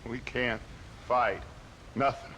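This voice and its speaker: Weary old outlaw boss, american, really slow and fatalistic, noisy behind. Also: male voice, medium pitch, steady tone, pushed and bright, dull audio. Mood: weary, resigned. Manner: slow, philosophical. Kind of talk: video game cutscene acting.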